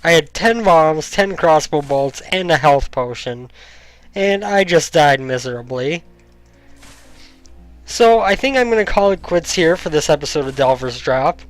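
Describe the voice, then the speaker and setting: A man's voice: fairly high pitch, lively intonation, a little slow. Rambling young man with a headset. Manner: rambling, thinks aloud with frequent ums. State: slightly hoarse. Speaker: gaming YouTuber. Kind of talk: casual let's-play commentary. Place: home room, indoors. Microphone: headset mic. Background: faint game music.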